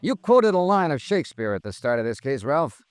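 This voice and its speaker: An American actor playing a cool, accusing insurance investigator in a 1950s radio drama, with a clipped, deadpan delivery. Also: male voice, fairly high pitch, brisk, smooth, no room sound. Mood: dry, sardonic. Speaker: lead actor and narrator. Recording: vintage radio drama, dry, music behind.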